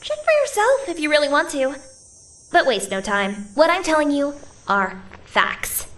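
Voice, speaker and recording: A woman's voice: high-pitched, big pitch swings, moderate pace, a bit bright. An actress playing a tough, confident female operative in a video game, speaking bluntly and assertively. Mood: brash, insistent. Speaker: voice actor in a game cutscene. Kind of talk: dramatic video game acting. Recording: clear background ambient noise.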